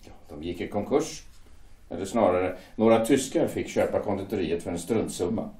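A dutiful, worried shipyard foreman, played by a man in an audio drama. He speaks hesitantly, with a low voice. The room echoes noticeably. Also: mellow, natural voice. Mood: grave. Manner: hesitant, defensive. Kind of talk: amateur audio drama acting.

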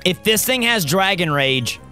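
An excitable young man narrates his playthrough in a slightly high, raspy, worn voice. He talks close to a studio mic at a home gaming setup and cheers his team on loudly. Faint game music plays behind him. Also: measured pace. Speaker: gaming YouTuber. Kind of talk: Let's Play commentary. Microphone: studio mic close up, seen.

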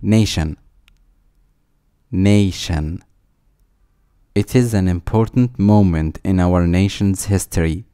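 A man with a low, mellow voice reads a vocabulary list at a very slow, deliberate pace. He says each word twice, then reads an example sentence. The sound is dry and close. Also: clear, resonant tone, no echo. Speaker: vocabulary reader.

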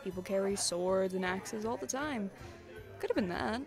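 A woman's voice: medium pitch, moderate pace, close to an everyday voice. A woman playing a brash, bossy adventurer with a blunt, teasing manner. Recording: loud music under the voice.

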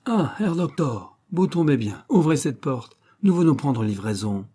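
A man voicing a brutal, sneering male SS officer in an audiobook, shouting with contempt. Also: lowish voice, steady tone, unhurried, breathy edge. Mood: mocking.

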